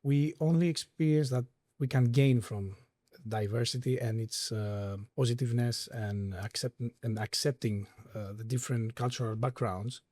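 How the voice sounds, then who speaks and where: Hesitant man with a mellow voice. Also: medium pitch, moderate pace, no echo, clean studio sound. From Greece. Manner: hesitant, many fillers. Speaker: claims manager. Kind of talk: podcast conversation.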